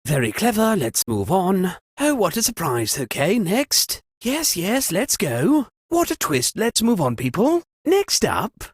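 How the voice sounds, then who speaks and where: Pompous, wildly swooping murder-mystery host. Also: female voice, mid-range voice, big pitch swings, slightly rough, no echo, studio quality. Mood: dry, sardonic. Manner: theatrical, pompous, drawn-out delivery. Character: theatrical aristocratic murder-mystery party host, lordly and sardonic. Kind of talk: dramatic game voice lines.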